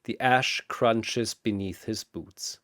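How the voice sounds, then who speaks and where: A man's voice: lowish voice, slow, fairly bright tone, no echo, studio quality. A man narrating a scripted story in a dramatic style, as its storyteller.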